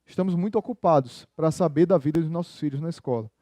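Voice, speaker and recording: A pastor with a high yet mellow voice delivers a sermon, talking with the congregation in an easy, conversational way. He keeps asking them rhetorical questions, and his voice sounds dry and close to the microphone. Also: fast, dry recording.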